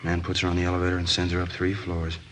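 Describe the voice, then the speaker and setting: An actor playing a weary, plain-spoken American police detective's partner in a radio drama, talking in clipped, colloquial phrases. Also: male voice, low voice, monotone, very fast, a bit bright. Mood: puzzled, weary. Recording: faint noise, old radio recording.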